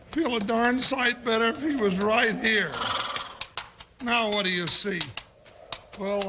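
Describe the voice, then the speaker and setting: A big, superstitious cowboy deputy in an old radio western, through clear broadcast noise. The voice is strikingly high and scratchy for a man, with a slow, folksy American drawl. He blusters and exclaims like a comic coward. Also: exaggerated voice. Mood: grumbling. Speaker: comic sidekick actor in a western radio series. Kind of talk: 1950s radio western acting. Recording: noisy 1950s radio broadcast recording.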